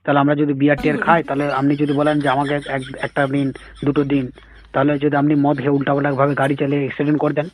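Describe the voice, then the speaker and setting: A man making a casual prank phone call, persistent and deadpan. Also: fairly high pitch, fast talker, crowd noise. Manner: deadpan, persistent, evasive with absurd questions. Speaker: prank caller, comedy show host.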